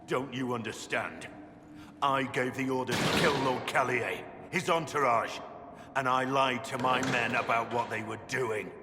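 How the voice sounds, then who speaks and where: A man playing a grave, gruff, guilt-ridden soldier in a video game, confessing haltingly. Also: medium pitch, long reverb tail, background noise, close to an everyday voice. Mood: anguished, forceful. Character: guilt-ridden bearded male soldier confessing from a prison cell.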